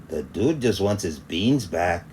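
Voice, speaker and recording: Man voicing an earnest little chihuahua, emphatic and animated. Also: sits low, a little slow, a little hiss or hum, voice pushed down. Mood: earnest complaint. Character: little male chihuahua bandmate, earnest, dramatic storyteller.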